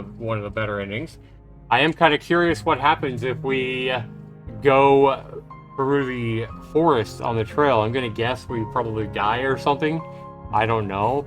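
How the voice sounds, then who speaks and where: A man hosting a livestream, reading a visual novel aloud in a measured storytelling way. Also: mid-range voice. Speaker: livestream host. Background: loud music and birdsong.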